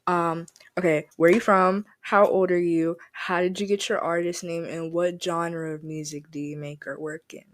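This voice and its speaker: A woman's voice: on the low side, flat delivery, normal speed, few pauses, no room sound. A woman hosting a podcast interview in a casual, chatty way, laughing often. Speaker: podcast host.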